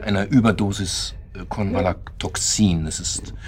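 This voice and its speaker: An actor playing a bitter widower in a German radio drama, speaking in a halting, pedantic and deliberate way with a husky voice. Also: male voice, low-pitched, fairly even intonation, a crowd in the background, close to an everyday voice. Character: bitter widower methodically accusing his club friends.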